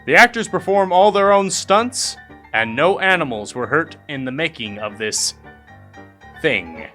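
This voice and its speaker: A man's voice: a little high, big pitch swings, unhurried, sharp tone. A man reading scripted comic credits as an announcer, in a showy, tongue-in-cheek manner. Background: music.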